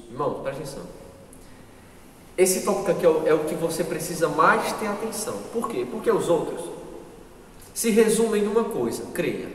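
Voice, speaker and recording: A Brazilian man leads a church Bible class, earnest and repetitive, often asking his listeners questions. His voice sits a little high for a man. It is picked up from a distance in a long, echoing hall. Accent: Brazilian Portuguese. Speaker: Sunday school teacher. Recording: echoey hall, distant mic, faint ambience.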